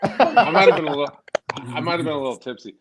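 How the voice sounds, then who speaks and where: A man's voice: a little high, sing-song, fast talker, over a noisy crowd. A man in his thirties hosting a podcast, reading out viewer comments and joking with the group. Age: 30s. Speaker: podcast host. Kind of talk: casual banter. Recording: audible background noise.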